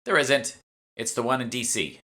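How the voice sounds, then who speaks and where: Man reading as a brusque, sardonic woman, bright-toned. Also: medium pitch, animated, moderate pace, voice pushed up. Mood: matter-of-fact. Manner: curt, impatient, know-it-all. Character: brusque, sardonic, bossy female guild fixer.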